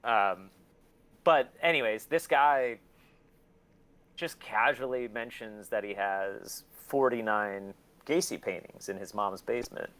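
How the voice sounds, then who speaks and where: A man's voice: lowish voice, takes it slowly, fairly bright tone. A man chatting casually as an interview guest, candid, profane and self-deprecating, telling rambling stories and acting out quotes. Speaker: guest, collector.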